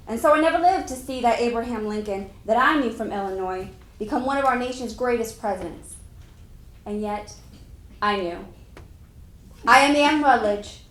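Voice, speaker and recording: A woman playing a wistful young frontier woman who died young, giving a solemn, measured monologue on stage. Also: on the high side, fairly bright tone, natural voice. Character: wistful young woman of 1830s frontier, dead at twenty-two. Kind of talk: first-person historical monologue, staged dramatic reading. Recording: echoey room.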